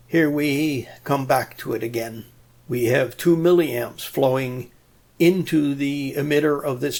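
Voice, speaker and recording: Slow-paced, creaky-voiced man explaining electronics. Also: medium pitch. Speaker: presenter of an electronics tutorial. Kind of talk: explanatory technical narration.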